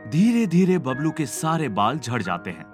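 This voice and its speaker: A man's voice: a little high, sing-song, moderate pace. A man reading scripted narration for a cartoon story. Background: background music.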